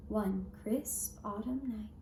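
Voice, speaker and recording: A woman telling a scripted fairy tale for a puppet show in a gentle, deliberate, singsong manner. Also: medium pitch. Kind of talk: scripted fairy-tale narration with lullaby singing. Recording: echoey room with faint noise.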